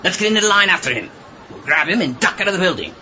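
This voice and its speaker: A man playing a vain, melodramatic, excitable mad scientist in a comedic audio drama. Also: sits high, rapid-fire, dull audio, very noisy. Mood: eager scheming. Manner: histrionic, excitable.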